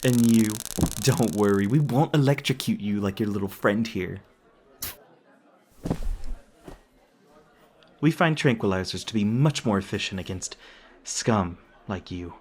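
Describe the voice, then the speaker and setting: A man's voice: lowish voice, moderate pace, darkish tone, a little hiss or hum, close to an everyday voice. A man playing a smug, sadistic corporate villain in an audio roleplay, taunting with sarcastic, mock-polite manners. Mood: cold, condescending. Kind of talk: ASMR audio roleplay acting.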